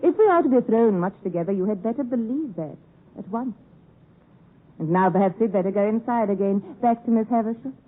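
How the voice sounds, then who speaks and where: A woman's voice: mid-range voice, lively intonation, a little fast, lightly acted. A woman playing a cold, proud, aloof young lady in 1950s radio drama style, on a tinny, narrow broadcast recording. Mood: cool, detached.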